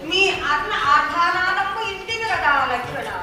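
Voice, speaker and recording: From far off in a very echoey space comes a devoted princess acted by a woman, extremely high-pitched. She declaims in formal, drawn-out folk puppet theatre style. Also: animated, bright, forceful tone, exaggerated voice. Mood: pleading. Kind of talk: declamatory Telugu folk puppet theatre, stylized old diction. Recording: echoey hall, distant mic, music behind.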